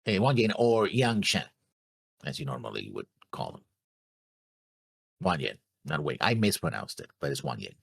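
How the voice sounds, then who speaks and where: A man's voice: a bass voice, big pitch swings, normal speed, vocal fry, long pauses, breathy edge, no echo. A man co-hosting an anime discussion show, casually talking through his episode notes. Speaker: co-host of an anime reaction show.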